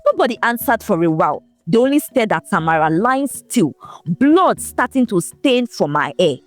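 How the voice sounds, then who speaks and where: A woman narrating a folktale in a scripted, expressive, dramatic storytelling manner. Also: medium pitch, moderate pace, faint music behind.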